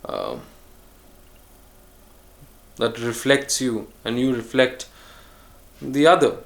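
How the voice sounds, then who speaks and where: A man vlogging a reflective solo monologue, musing and earnest, with frequent pauses and restarts. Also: mid-range voice, creaky. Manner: earnest, speaks of choosing calm over harshness.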